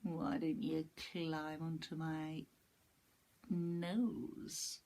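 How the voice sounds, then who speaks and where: A woman voicing a sly, smooth-talking male fox in a children's story, speaking softly and persuasively. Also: sits low, flat delivery, very slow, heavily acted. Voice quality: soft, coaxing, sweetly persuasive.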